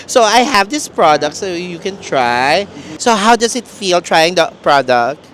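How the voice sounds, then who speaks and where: Filipino street host, super animated and swoopy, giggly n teasing, cheeky product promo. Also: medium pitch, unhurried, slight background noise. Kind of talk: playful, bawdy street interview.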